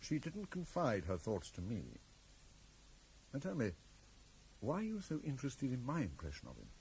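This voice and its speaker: An actor playing a middle-aged, very correct British professor in a radio drama, guarded, brusque and easily affronted. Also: male voice, medium pitch, wildly swooping pitch, normal speed, gravelly, a little mellow. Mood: dry, reserved. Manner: stiff, formal, brusque. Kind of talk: 1980s radio drama acting. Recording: faintly noisy 1980s radio broadcast.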